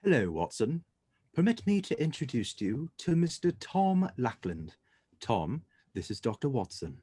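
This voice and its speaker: Mellow, commanding gentleman detective played by a man. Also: medium pitch, very animated, husky. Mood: composed, brisk. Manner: formal, measured, assured. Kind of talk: radio drama acting.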